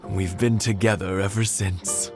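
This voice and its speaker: An actor playing an elderly, bashful and sentimental village husband in a video game, talking in a halting, reminiscing way. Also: male voice, low voice, fairly even intonation, over music.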